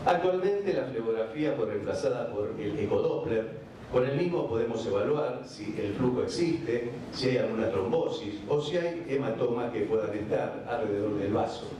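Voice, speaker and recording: Argentine male surgeon, measured conference lecture with hesitations, noisy room, distant pickup. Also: mid-range voice, lively intonation, mellow. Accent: Argentine Spanish. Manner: measured, with frequent hesitation fillers. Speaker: vascular surgeon giving a conference talk. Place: large conference hall. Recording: echoey hall recording from afar, background noise.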